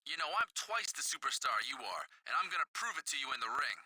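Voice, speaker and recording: An actor playing a boastful, menacing pro wrestler issuing a challenge in a video game cutscene. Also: male voice, mid-range voice, big pitch swings, fast talker, sharp tone, slightly rough, no room sound, exaggerated voice, rough recording. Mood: boastful menace.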